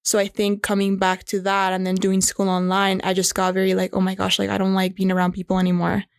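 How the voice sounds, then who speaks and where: A woman's voice: medium pitch, completely flat delivery, fast talker, creaky, clean tone, no echo, clean studio sound. Chatty young woman, slight Venezuelan accent. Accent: Venezuelan Spanish. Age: young adult. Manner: chatty, heavy use of "like" fillers. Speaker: guest. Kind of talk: casual conversational interview.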